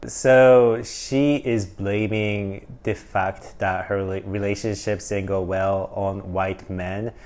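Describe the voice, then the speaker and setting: Low-voiced man giving blunt commentary. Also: steady tone, slow, hardly any pauses, a little mellow. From Japan. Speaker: reaction video host. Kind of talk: casual opinionated commentary.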